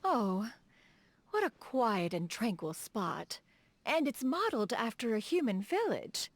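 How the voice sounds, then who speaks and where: Game role: dignified immortal lady, refined and serene, unhurried, with swooping intonation. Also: fairly high pitch, sing-song, heavily acted. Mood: serene, curious. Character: serene, dignified female immortal adept in human guise. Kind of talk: measured video game character dialogue.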